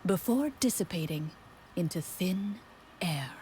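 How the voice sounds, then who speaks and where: A mellow, calm game master. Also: female voice, fairly low pitch, a little hiss or hum. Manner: measured, calm storyteller. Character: composed woman game master narrating. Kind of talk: video game voice acting.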